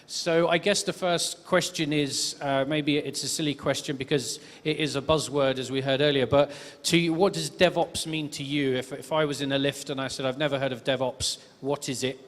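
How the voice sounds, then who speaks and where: A man's voice: medium pitch, steady tone, moderate pace, few pauses. A man moderating a tech conference panel in a chatty, hedging way with lots of fillers. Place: conference stage. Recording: reverberant conference hall.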